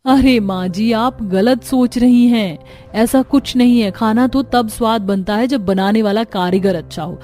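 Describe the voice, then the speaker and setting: A woman voicing a young Indian daughter-in-law, polite but easily frustrated, in an earnest, expressive cartoon family story. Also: on the high side, a little slow, faint music behind, natural voice. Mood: confident.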